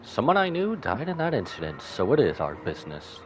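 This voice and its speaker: A man's voice: mid-range voice, huge pitch swings, fairly quick, soft background music. A man giving casual, wry live commentary as he streams a game, joking and reading bits aloud.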